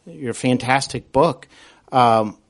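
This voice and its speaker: A man's voice: mid-range voice, takes it slowly. A man hosting a conversational interview, speaking in an affirming, wordy way with hesitant phrasing. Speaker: podcast host and interviewer.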